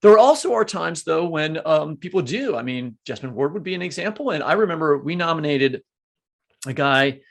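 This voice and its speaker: Slightly creaky, higher-pitched man, reflective. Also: expressive, moderate pace. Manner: reflective, searching for words. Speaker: podcast co-host. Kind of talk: conversational panel discussion.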